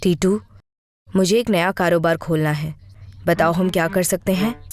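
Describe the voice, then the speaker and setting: A woman voicing a greedy, bossy rich landlady in an animated story, with an imperious, brazen manner. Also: lowish voice, moderate pace, voice pushed down, lightly acted. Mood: scheming. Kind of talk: animated moral story acting.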